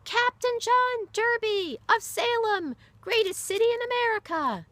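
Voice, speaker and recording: A woman's voice: very high-pitched, lively intonation, a little slow, a bit bright, no echo, pitched above the performer's own voice, heavily acted. A woman voicing a big, rowdy male sailor who bellows questions to a cheering crowd in a read-aloud story. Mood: roaring. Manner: bellowing, rousing.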